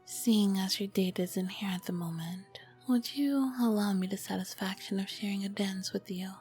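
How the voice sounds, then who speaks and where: A woman playing an ancient, charming, flirty vampire queen in a whispered ASMR roleplay, with elegant, formal diction and a soft breathy voice. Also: medium pitch, moderate pace, faint music behind, lightly acted. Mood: flirty, teasing.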